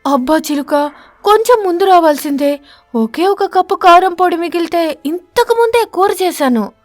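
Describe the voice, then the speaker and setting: A woman voicing a stingy, crafty wife sparrow in an animated story, with a theatrical, scolding, self-satisfied manner. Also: extremely high pitch, normal speed, no room sound, faint music behind, pitched above the performer's own voice. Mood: feigned regret.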